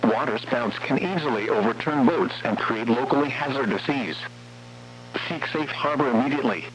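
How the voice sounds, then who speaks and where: A man's synthetic-sounding voice reads scripted weather warnings as a radio announcer, in a formal, measured way. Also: medium pitch. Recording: muffled weather radio broadcast, noisy hum.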